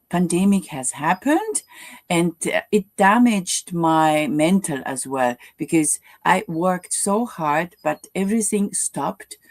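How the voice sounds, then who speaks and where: A Japanese woman in her fifties, speaking English as a second language, gives a halting personal testimony full of fillers and restarts as a guest speaker. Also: low voice, darkish tone, no room sound. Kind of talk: personal testimony at an online live session.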